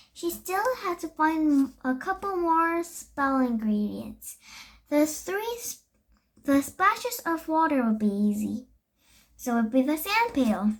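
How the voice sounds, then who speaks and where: A young girl reading a children's story aloud, haltingly, with stumbles and self-corrections. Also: high-pitched. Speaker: storybook reader.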